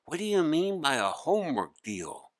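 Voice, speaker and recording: A man's voice: a little high, sing-song, moderate pace, pitched above the performer's own voice. A man voicing a young schoolboy in a storybook, complaining and full of grumbling excuses. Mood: curious, amazed. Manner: whiny, complaining. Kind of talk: storybook character voice.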